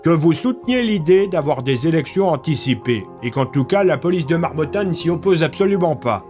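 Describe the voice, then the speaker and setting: A man plays a refined, scheming military leader in an audio drama. His voice sits a little high and moves with lively intonation, always courteous and smug. Music plays faintly underneath. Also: a little fast, natural voice. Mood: coolly menacing. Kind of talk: French audio fiction acting.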